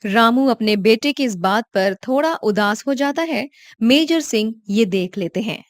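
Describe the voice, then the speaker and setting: A woman narrating a cartoon story in a calm, even storytelling voice. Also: a little high, a little slow, no echo.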